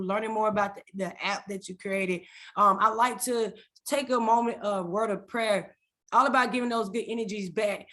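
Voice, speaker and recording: Host: woman, slightly high voice, energetic casual delivery, repeats phrases, sometimes stumbles. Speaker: podcast host. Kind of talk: casual podcast hosting with prayer.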